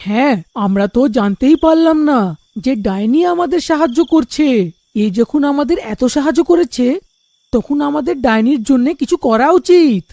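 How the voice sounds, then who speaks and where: Gentle village husband, voiced by a woman, high pitch, creaky, earnest, cartoon dialogue. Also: expressive, moderate pace, no room sound.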